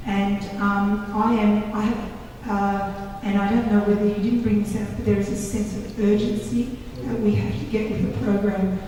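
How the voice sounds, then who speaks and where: A woman school principal with a croaky voice, giving an earnest, passionate comment from the audience. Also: medium pitch, flat delivery, normal speed, few pauses, warm tone, a little hiss or hum. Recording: echoey hall, distant mic.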